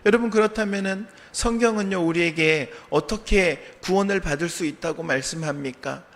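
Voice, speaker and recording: A man preaching a sermon as a pastor, his voice a little hoarse from a sore throat, earnestly asking the congregation questions and answering them. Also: sits high, normal speed. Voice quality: slightly strained, hoarse voice. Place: church sanctuary.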